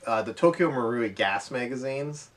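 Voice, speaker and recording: A man's voice: mid-range voice, lively intonation, moderate pace. Man chatting, distant from the mic. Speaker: co-host of an airsoft podcast. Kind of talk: casual unscripted chat. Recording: voice distant from the microphone.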